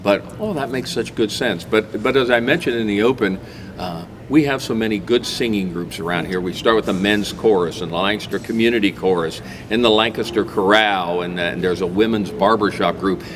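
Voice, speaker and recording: A man's voice: on the low side, fairly quick. An American man hosting a casual interview in a chatty, enthusiastic way. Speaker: talk show host. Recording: clearly noisy background.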